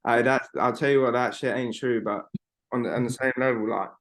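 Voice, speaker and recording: A man's voice: medium pitch, steady tone, brisk, a bit bright. A man, co-hosting a podcast over a video call from home, rambling emphatically and swearing freely. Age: 20s. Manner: rambling, emphatic, swears a lot, fillers like "bro". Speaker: podcast co-host. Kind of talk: casual chat.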